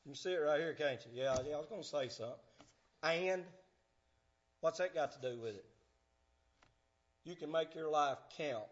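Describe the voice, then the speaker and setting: A man, preaching a fervent sermon in church, swinging from shouts to hushed tones. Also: on the high side, normal speed, pauses often, muffled recording, a little hiss or hum. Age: 50s.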